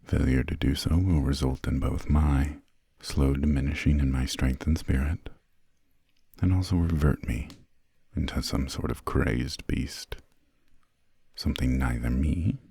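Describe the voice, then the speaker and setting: A man playing a refined, imperious vampire lord in an ASMR roleplay, speaking in a hushed, formal, slightly haughty way with a breathy, gravelly low voice. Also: fairly even intonation, measured pace, dry recording, full character voice. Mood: stern, formal. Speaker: employer briefing a new assistant.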